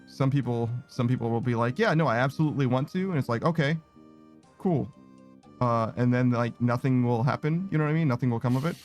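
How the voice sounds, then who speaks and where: A man's voice: medium pitch, a little fast, a little mellow. A young man streaming a game, rambling casually with many restarts and fillers. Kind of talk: casual chat while gaming. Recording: faint music behind.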